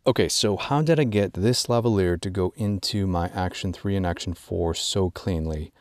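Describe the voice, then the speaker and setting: A man presenting an informal instructional product walkthrough, drawing out key words in a measured way for emphasis. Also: deep voice, darkish tone, no echo, studio quality. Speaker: gear tutorial presenter.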